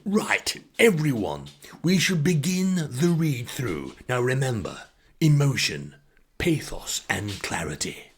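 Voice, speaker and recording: A man's voice: medium pitch, big pitch swings, slow, breathy edge, voice pushed up, full character voice. A man voicing a pompous, grandiose amateur pantomime director in a comic monologue, declaiming theatrically. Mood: grandly commanding.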